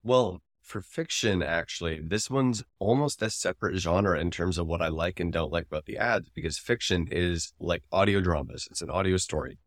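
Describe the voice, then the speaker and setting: A man's voice: deep voice, moderate pace, hardly any pauses, no room sound, studio quality. A man co-hosting a podcast, explaining his opinions in casual discussion. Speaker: podcast co-host, advertising commentator.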